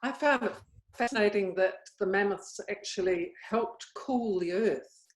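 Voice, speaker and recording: A woman's voice: mid-range voice, a little slow. A woman interviewing an author, asking questions in a conversational, admiring way.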